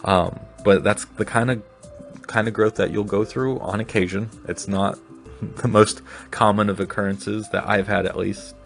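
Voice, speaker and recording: A man's voice: fairly low pitch, fairly even intonation, moderate pace, creaky, soft background music. A man hosting his own solo podcast, talking reflectively and rambling a little with restarts. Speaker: podcast host.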